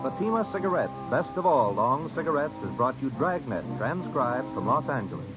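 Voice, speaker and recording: A man's voice: mid-range voice, big pitch swings, normal speed, over loud music. An American man reading scripted advertising copy as a 1950s radio announcer. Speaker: commercial announcer. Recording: tinny vintage radio recording.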